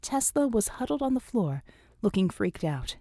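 A woman's voice: medium pitch, very animated, normal speed, warm tone, no room sound, studio quality. A woman reading scripted fiction as an audiobook narrator.

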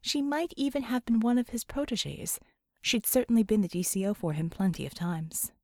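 A woman narrates a scripted novel as an audiobook reader. Her voice sits a little high and her delivery is expressive, with a close, dry sound free of room echo. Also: brisk.

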